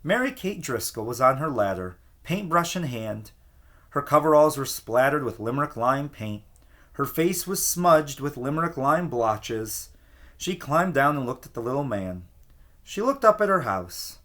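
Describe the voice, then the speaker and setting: Guy reading a kids storybook, super animated up and down voice, teacher vibe. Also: medium pitch, measured pace. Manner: expressive, storytelling cadence. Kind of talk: children's storybook read-aloud.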